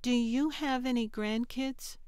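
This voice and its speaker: A woman's voice: fairly high pitch, steady tone, a little slow, a little mellow, smooth, no room sound, clean studio sound, pitched above the performer's own voice, close to an everyday voice. A woman voicing an earnest, guarded eight-year-old girl in an audiobook, speaking a little hesitantly. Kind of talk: audiobook character acting.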